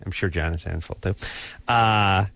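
A man's voice: low-pitched, normal speed, gravelly, fairly bright tone, no room sound. A man hosting a casual interview, joking around and often restarting his questions. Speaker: host.

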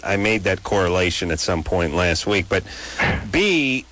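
A man's voice: measured pace, rough recording. A man hosting a radio call-in show, joking and teasing in casual banter. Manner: jokey, self-mocking, lively rises in pitch.

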